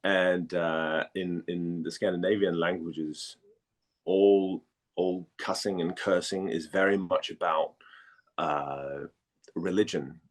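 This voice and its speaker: A man in a casual interview, telling stories in a chatty, halting way and often rephrasing as he goes. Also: low voice, fairly even intonation. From Denmark. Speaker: voice actor and model, interview guest.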